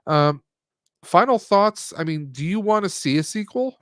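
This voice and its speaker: A man's voice: high-pitched, lively intonation, normal speed, a bit bright, no echo. A man hosting a live stream, giving a casual, rambling, jokey movie review.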